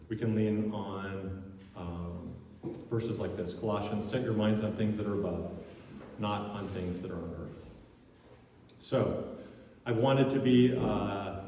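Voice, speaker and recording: Low-voiced man in an echoey hall. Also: dark tone, recorded from a distance, a little hiss or hum. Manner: halting, many fillers and restarts. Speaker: speaker giving a presentation. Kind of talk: personal talk about faith and career.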